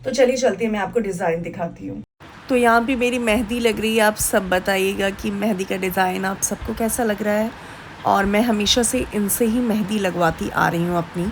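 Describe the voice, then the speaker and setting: A woman's voice: medium pitch, moderate pace, a little hiss or hum. A young woman from Bihar vlogging casually to camera, chatty and full of fillers, with a sore throat. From Bihar, India. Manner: chatty, mixes English into Hindi, many fillers. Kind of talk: casual vlog chat to camera.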